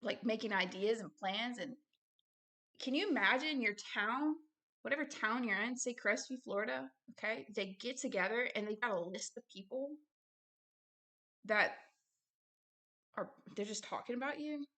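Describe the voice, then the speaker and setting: Woman giving halting, earnest commentary. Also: on the high side, sharp tone. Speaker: religious video host. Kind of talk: informal commentary while reading aloud from a book.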